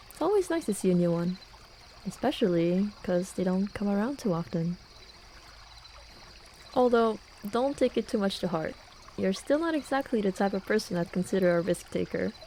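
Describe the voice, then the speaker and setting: A woman's voice: mid-range voice, warm tone, a little hiss or hum, lightly acted. A young woman acting a playful, teasing student friend in a casual, intimate ASMR roleplay. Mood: amused, teasing. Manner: casual, teasing, with sighs and chuckles. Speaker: voice actor. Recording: running and trickling water behind voice. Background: hot spring water ambience.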